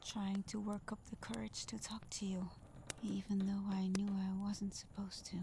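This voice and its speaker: Casting: actress as gentle, bashful fox-spirit girl, tender half-whisper, mellow and unhurried, ASMR roleplay. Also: mid-range voice. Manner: soft-spoken, hesitant, tender, breathy half-whisper. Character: shy, gentle young female kitsune fox spirit rescuer. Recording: faint background noise and ambience.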